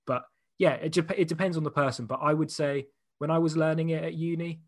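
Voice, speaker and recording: A man's voice: a little high, steady tone, no room sound, studio quality. Chatty guy telling stories. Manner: chatty storyteller, quick to laugh. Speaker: interview guest. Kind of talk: casual chat.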